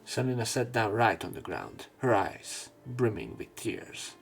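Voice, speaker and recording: A man reading a visual novel aloud as a let's player, in an expressive, dramatic narration style. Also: on the low side, a little slow, creaky, faint music behind. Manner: expressive, with emotional swells.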